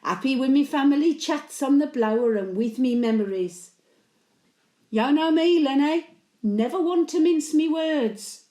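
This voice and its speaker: A woman's voice: high voice, lively intonation, measured pace, warm tone, echoey room. A woman playing a blunt, antisocial elderly Birmingham widow, telling chatty stories in local dialect as a dramatic monologue. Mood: wistful, then bitter, reminiscing. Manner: blunt, grumbling, colloquial dialect. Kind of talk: dramatic monologue, chatty storytelling to late husband.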